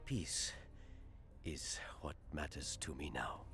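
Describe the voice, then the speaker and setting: An actor playing a weary, remorseful older alien senator in a cartoon, grave and measured. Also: male voice, a bass voice, whispered, music in the background. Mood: regretful.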